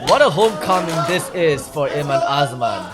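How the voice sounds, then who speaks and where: A man giving live play-by-play wrestling commentary as a commentator, measured and building his phrases for emphasis. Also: fairly high pitch, expressive, loud music behind the voice. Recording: noisy arena recording with loud crowd. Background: loud wrestling crowd.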